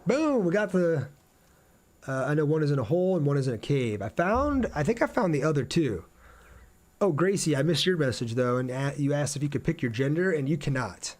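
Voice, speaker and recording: A man's voice: a little high, very animated, brisk, a little mellow. Casual man streaming a video game. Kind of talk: casual livestream gameplay commentary with chat replies.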